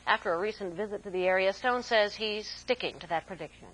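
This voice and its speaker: A woman with an American accent anchors a news broadcast. Her voice sits at a medium pitch. She reads scripted copy in a formal, measured announcer style. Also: a bit bright, low-quality recording. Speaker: military network news anchor.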